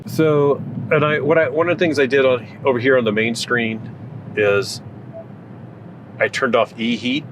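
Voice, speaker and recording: A man's voice: mid-range voice, steady tone, moderate pace, background noise. A man in his forties vlogging while he drives at night, thinking aloud with plenty of fillers, filmed by a dashboard camera. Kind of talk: casual chat while driving. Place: inside a car at night. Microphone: camera mounted on the dashboard.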